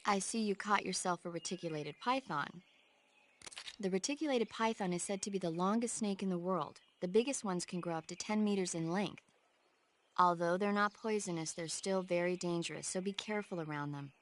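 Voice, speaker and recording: An actress playing an earnest, knowledgeable support advisor in a video game, explaining things informatively. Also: female voice, fairly low pitch, slight background noise, lightly acted. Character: knowledgeable female radio support advisor, earnest, easily exasperated.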